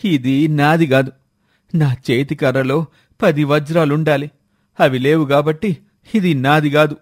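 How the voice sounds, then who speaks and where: A man's voice: fairly high pitch, steady tone, measured pace, no echo, clean studio sound. A man playing an anxious, pleading villager with a plaintive manner in a moral story. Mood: defensive, nervous. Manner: plaintive, faltering when cornered. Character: anxious, pleading villager lying about his stolen walking stick. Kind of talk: acted dialogue in a moral story.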